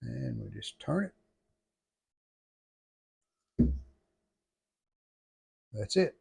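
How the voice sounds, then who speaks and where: A man giving casual how-to narration as a hobbyist restorer, plain-spoken and folksy, low-key, talking in short phrases. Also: lively intonation, very slow, vocal fry, dark tone, husky. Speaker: hobbyist restorer demonstrating a repair.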